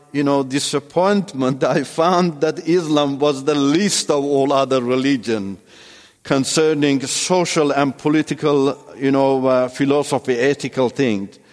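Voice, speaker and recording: Halting man telling his testimony. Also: fairly high pitch, long reverb tail. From Iran. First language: Turkish. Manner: halting, many fillers and restarts. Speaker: testimony speaker. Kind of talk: personal testimony talk.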